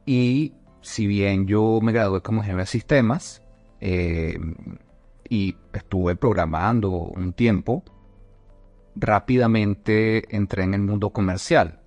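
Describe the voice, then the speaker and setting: Male podcast guest from Venezuela, low register, reflective and hesitant delivery, faint music bed. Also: slow, darkish tone, no room sound, dull audio. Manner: reflective, with many restarts and fillers. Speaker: guest, leadership coach. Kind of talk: conversational podcast interview.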